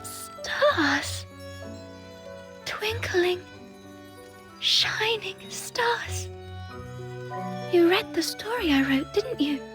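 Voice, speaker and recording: High-voiced, gentle little girl. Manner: soft, wondering, storybook-like phrasing. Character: gentle, frail, imaginative young girl, an adoring little sister. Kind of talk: video game voice acting.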